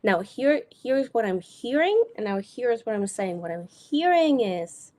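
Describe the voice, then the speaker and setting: A woman's voice: a little high, measured pace. A young adult woman from Germany with an American accent, talking directly and energetically as a business coach in a solo live video. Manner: energetic, direct, casual with occasional swearing.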